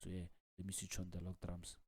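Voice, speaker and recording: A man's voice: low-pitched, monotone, fairly quick. A man giving an informal music production tutorial, explaining casually and loosely, repeating himself and peppering his talk with "like" and "man". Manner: casual, repetitive filler-heavy explaining with frequent "like" and "man". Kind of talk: informal software tutorial walkthrough.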